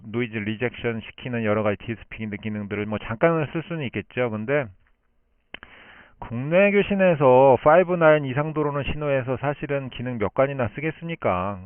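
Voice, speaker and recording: Guy on ham radio explaining stuff, thinks out loud, dry smooth voice, tinny shortwave sound. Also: mid-range voice, dry recording. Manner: explanatory, thinks aloud with pauses. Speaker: amateur radio operator. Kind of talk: unscripted radio contact, long explanatory turns. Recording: band-limited shortwave radio transmission.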